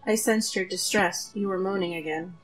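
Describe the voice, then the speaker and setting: A woman's voice: mid-range voice, moderate pace, faint music behind, natural voice, rough recording. A woman playing a concerned, earnest female travel companion in a fantasy audio drama.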